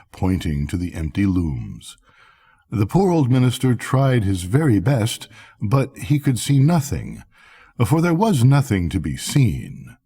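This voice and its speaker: A man's voice: lowish voice, warm tone, breathy edge. An older man reading a bedtime story expressively, as a storyteller.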